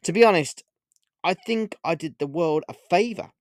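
A man voicing a scornful, playful female witch in an audiobook, mocking and theatrical, with drawn-out emphatic phrasing. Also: high voice, expressive, no room sound, studio quality, voice pushed up. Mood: smug. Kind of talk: audiobook character voice.

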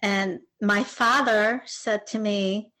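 A woman's voice: medium pitch, steady tone, slow, sharp tone, poor audio. A woman in her sixties from Argentina, a native Spanish speaker, telling her story in an interview as a retired translator.

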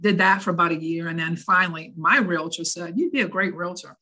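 An older woman with a New Orleans accent tells her life story in a relaxed, chatty interview. Her voice is bright and a little low. She laughs easily and tags her lines with "right?" and "okay?". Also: fairly quick. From New Orleans, Louisiana. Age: 60s. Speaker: interview guest.

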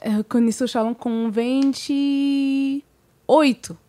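A woman in her thirties from Montreal, a singer being interviewed, speaking in a soft, measured way. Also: high voice, fairly even intonation. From Montreal, Canada. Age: 30s. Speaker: guest singer. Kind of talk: interview conversation.

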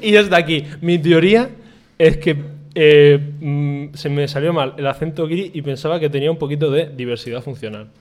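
A man's voice: on the high side, moderate pace, darkish tone. A young man from Spain co-hosting a podcast, telling a story in a rambling, self-mocking way and laughing partway through sentences. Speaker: podcast co-host. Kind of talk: casual storytelling chat.

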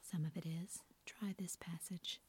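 A kind young male tutor speaks in a gentle, breathy whisper, as a woman reads his part. His voice is low and even, inviting and encouraging. This is a soft-spoken storybook reading. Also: unhurried.

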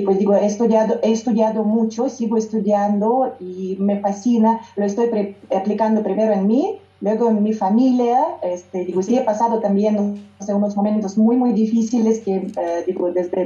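A woman's voice: mid-range voice, fairly even intonation, normal speed, hardly any pauses, dark tone. A woman with a Russian accent, a native Russian speaker, who is a singer and music teacher and speaks hesitantly, often restarting and searching for words. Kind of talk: interview conversation. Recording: roomy echo, dull lo-fi sound.

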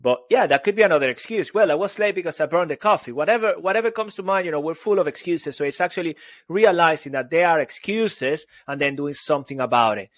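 Playful Venezuelan man, higher voice. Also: fast, no room sound, studio quality. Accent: Venezuelan Spanish. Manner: energetic and playful, exclaims "boom". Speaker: podcast co-host. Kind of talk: casual podcast chat. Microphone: studio microphone.

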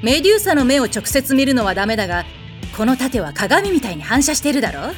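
Imperious goddess, animated, higher-pitched, blunt commands, protective underneath, comedy radio play, music behind. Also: female voice, quick pace. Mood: instructing. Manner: imperious, commanding, curt masculine phrasing. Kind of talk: Japanese comedic audio drama acting.

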